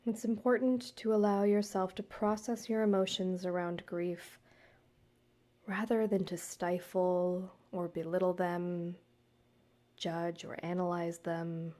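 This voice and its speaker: A woman guiding a meditation, speaking to the listener in a soothing, measured way with deliberate pauses. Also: fairly low pitch, level tone, warm tone. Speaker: guided meditation host, counselor and yoga teacher. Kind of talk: gentle guided meditation narration, addressed to the listener.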